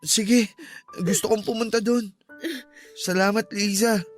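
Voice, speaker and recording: A man's voice: high-pitched, steady tone, unhurried, soft background music. A man playing a bewildered, hurt young son in a Filipino radio drama, speaking with polite, wounded earnestness. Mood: subdued. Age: young adult.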